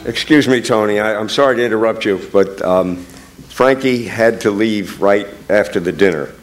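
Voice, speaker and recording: A man's voice: lowish voice, moderate pace. A man making mock announcements in a comic stage skit, hesitant and tongue-in-cheek, on a noisy live recording.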